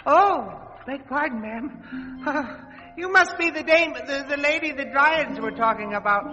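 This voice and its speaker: Brash toy marine captain, extremely high, sharp voice, slangy, chipper, vintage radio fantasy. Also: male voice, measured pace, muffled recording, heavily acted. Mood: impressed, flustered. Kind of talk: old-time children's radio drama acting. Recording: reverberant old radio recording, music behind.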